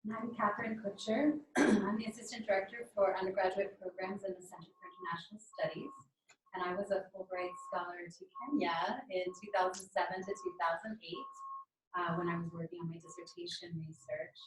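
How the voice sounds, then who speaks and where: A woman's voice: fairly low pitch, fairly even intonation, fairly quick, a little hiss or hum. A woman in her forties from Ohio, a university program director, introducing herself in a composed, articulate way. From Ohio, United States. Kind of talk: panel self-introduction. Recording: distant mic in echoey room.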